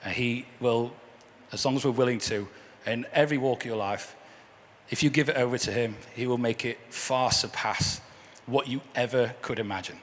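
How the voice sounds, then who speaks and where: A man with a hoarse, croaky voice gives an earnest church testimony as a guest speaker, often repeating words as he restarts. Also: medium pitch, moderate pace, a bit bright, slight background noise. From England. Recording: echoey hall with faint ambience.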